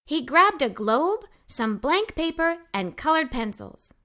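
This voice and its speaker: A woman reading a children's story aloud in an expressive, enthusiastic way, as an adventurous young girl telling her own tale. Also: sits high, measured pace. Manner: animated, enthusiastic. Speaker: storybook narrator.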